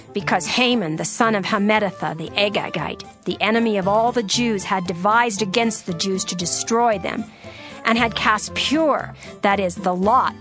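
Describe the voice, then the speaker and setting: Female narrator, steady formal scripture reading, soft music bed. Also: medium pitch.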